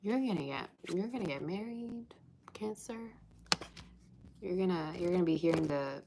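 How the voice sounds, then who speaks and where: A woman's voice: lowish voice, expressive, moderate pace, background noise. A woman giving a livestream tarot reading, chatty and teasing, reading out viewer comments. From Russia. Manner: chatty, teasing, sings snatches of old songs. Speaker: tarot reader.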